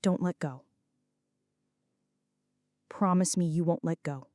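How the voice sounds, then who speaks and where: A woman playing a flirty, anxious female friend in an intimate ASMR roleplay, speaking softly and dramatically, close to the listener. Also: lowish voice, slow pace, no room sound. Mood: scared, pleading. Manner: soft, close, dramatic pleading and confiding.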